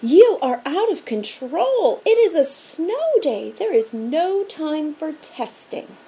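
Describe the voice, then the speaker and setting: A woman's voice: high-pitched, very animated, takes it slowly, dark tone, voice pushed up, full character voice. A woman voicing a flustered middle-aged male school principal in a storybook reading, stammering at times. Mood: stern.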